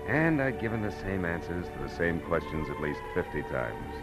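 An actor playing a weary, hard-boiled private detective, narrating in a brooding, clipped 1940s radio noir style. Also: male voice, low-pitched, lively intonation, moderate pace. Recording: old radio broadcast with music behind. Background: dramatic music.

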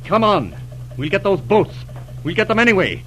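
An actor playing a brisk, decisive naval commander who gives clipped, authoritative orders in an old-time radio drama. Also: male voice, high voice, very animated, a bit bright. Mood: excited, commanding. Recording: noisy 1940s radio recording.

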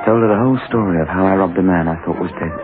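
A man's voice: sits low, rapid-fire, lightly acted. Man acting an agitated petty thief on tinny radio. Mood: urgent, confessing. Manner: brooding, agitated, dramatic. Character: anxious, guilt-ridden down-at-heel male petty thief, narrating. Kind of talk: 1960s radio suspense acting. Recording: tinny old radio recording with music.

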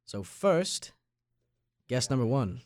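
A young man from Long Island hosts a podcast in a medium-pitched voice. The sound is dry, with very little room. He moves between prepared introduction and casual questions. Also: big pitch swings, slow pace, a little mellow, no room sound. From Long Island, New York. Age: late teens. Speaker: podcast host. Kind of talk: scripted intro and casual interview.

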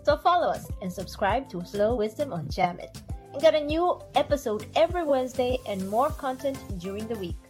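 A woman reads a scripted podcast outro, measured and smooth. Her voice sits a little high. Soft music plays faintly behind her. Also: lively intonation. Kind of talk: scripted sign-off.

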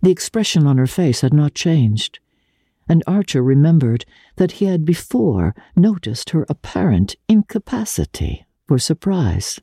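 A woman narrating classic fiction in a measured, theatrical storytelling manner. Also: low-pitched, very animated, warm tone, breathy edge, no room sound, clean studio sound. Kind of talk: dramatic reading of classic fiction.

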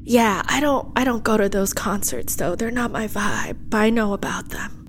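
A woman voicing a laid-back, mellow twelve-year-old male goat who keeps calling people "man". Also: mid-range voice, animated, normal speed, a little hiss or hum, close to an everyday voice. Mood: chill. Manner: mellow, says "man" a lot. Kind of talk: improvised comedy.

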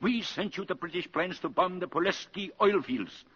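A man playing an imperious, irascible German ambassador in a 1950s radio drama, with a rough, emphatic delivery. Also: high-pitched, lively intonation, moderate pace, fairly bright tone, heavily acted. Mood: indignant. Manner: blustering, indignant, emphatic. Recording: muffled, reverberant 1950s radio broadcast.

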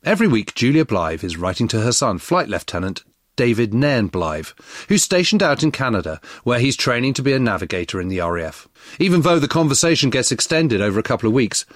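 Lively male history narrator. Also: medium pitch, no room sound, clean studio sound. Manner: expressive, explanatory. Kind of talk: scripted documentary narration.

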